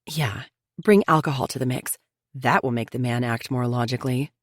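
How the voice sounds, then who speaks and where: A woman's voice: extremely low pitch, normal speed, no room sound, voice pushed down. A woman voicing a wary young widow who chooses her words carefully and answers with a dry tone. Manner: dry, cautious. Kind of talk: audiobook character voice.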